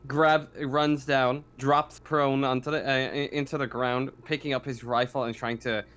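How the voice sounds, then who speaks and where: A man running a tabletop sci-fi roleplaying game as game master, casual and halting as he thinks aloud. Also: medium pitch, level tone, fairly bright tone. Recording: faint background noise.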